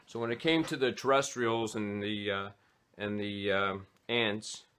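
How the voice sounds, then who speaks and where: Casting: man in his forties, lowish voice, relaxed, unscripted talk full of "uh" pauses, recorded close on a webcam in a small home office. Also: slight background noise. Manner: hesitant, many "uh" fillers. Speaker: fly fishing vlogger. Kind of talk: casual gear talk to camera.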